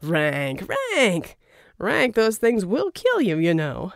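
A woman's voice: mid-range voice, huge pitch swings, slow, dry recording, clean studio sound, heavily acted. A woman voicing a cheerful, menacing male villain tycoon in an audiobook, with theatrical mock charm. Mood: breezy, needling.

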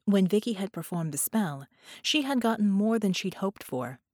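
A woman's voice: mid-range voice, lively intonation, normal speed, darkish tone, dry recording, studio quality. A woman reading scripted fiction as an audiobook narrator.

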